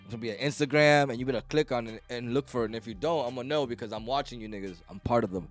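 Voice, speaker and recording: A fast-talking man hosts a loose, joking podcast chat. He swears freely and talks over his guests, and faint music runs underneath. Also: mid-range voice. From Lawrence, Massachusetts. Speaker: podcast host. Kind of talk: casual chat.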